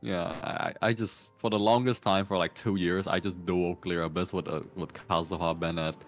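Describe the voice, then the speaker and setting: A man's voice: deep voice, little pitch movement, moderate pace, soft background music. A man giving game advice in casual, informal chat with a wry, teasing manner. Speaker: guest advising the streamer on the game.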